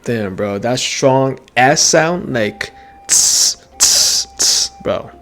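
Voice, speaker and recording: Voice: medium pitch, expressive, extremely slow, fairly bright tone. A gamer reacting casually to a playthrough, slangy and muttering, often repeating phrases. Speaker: gaming streamer.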